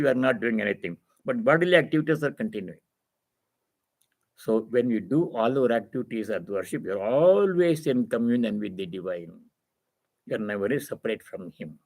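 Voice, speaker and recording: Man giving a measured spiritual discourse. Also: medium pitch. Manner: didactic, repeats phrases for emphasis. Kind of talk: spiritual discourse, reading and explaining scripture.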